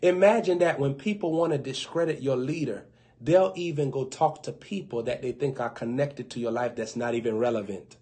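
A young man preaching on a livestream in front of an open Bible, playfully acting out skeptics and cracking quick asides. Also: medium pitch, dark tone. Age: young adult. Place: indoors before a gold bokeh backdrop with an open Bible. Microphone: webcam close up.

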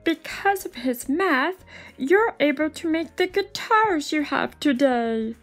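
Woman as a friendly storybook alien, high voice, unhurried, enthusiastic explainer, music behind. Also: animated, heavily acted. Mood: upbeat, explaining. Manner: patient, explanatory, upbeat. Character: friendly enthusiastic purple space alien who loves math. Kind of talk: picture book read-aloud.

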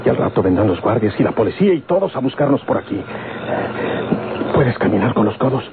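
An actor playing a tough bandit on the run in an old radio drama, with a rural northern Mexican accent and a terse, commanding manner. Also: male voice, mid-range voice, sing-song, brisk, heavy background noise. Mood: tense, hushed. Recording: tinny old radio recording with music.

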